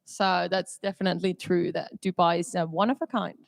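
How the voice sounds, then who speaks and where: A woman's voice: on the low side, steady tone, brisk, gravelly, no echo, studio quality. Woman in her twenties on a panel. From Finland. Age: 20s. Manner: casual, uses fillers like "like". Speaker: student panelist. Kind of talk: panel discussion.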